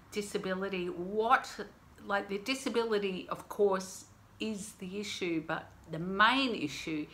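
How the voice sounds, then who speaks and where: A woman, hosting a casual book review from her living room, chatty and animated with many asides. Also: mid-range voice, slight background noise. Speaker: book reviewer and channel host. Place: home living room, indoors. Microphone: camera on desk, framed head and shoulders.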